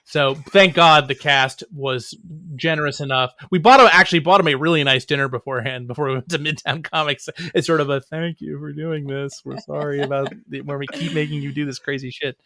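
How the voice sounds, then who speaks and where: A man's voice: fairly high pitch, fairly even intonation, fairly quick, bright, forceful tone, no room sound, studio quality. A man chatting casually as an interview guest, wry and joking, often breaking off and restarting his sentences. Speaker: guest writer. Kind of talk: casual chat.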